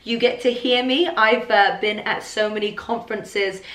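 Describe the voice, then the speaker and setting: A woman with a hoarse, husky voice from losing it, hosting a podcast in an upbeat, chatty way and coughing now and then. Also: on the high side, fairly even intonation, normal speed, a bit bright. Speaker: podcast host. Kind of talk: casual chatty podcast monologue. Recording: roomy echo.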